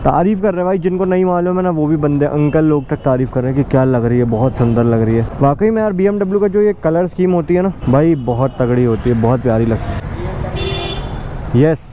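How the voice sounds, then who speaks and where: Young male motovlogger, excited, slightly high voice, outdoor helmet-cam sound with loud street noise. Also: brisk. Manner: excited, boastful, keeps addressing viewers as brothers. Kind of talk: casual vlog chat to viewers. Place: outdoors on city streets on a motorbike. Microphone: helmet-mounted camera mic. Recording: noisy street recording with traffic. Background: traffic and vehicle noise.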